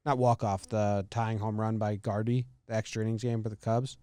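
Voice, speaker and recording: A man's voice: lowish voice, flat delivery, normal speed, warm tone, smooth, dry recording. An American man hosting a podcast, talking sports in a casual, conversational way. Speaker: podcast host.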